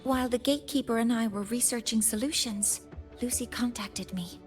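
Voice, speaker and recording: A woman's voice: fairly high pitch, moderate pace, faint music behind, natural voice. A woman playing a composed researcher in a game, giving a formal, precise, articulate briefing. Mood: serious, formal. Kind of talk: animated game character dialogue.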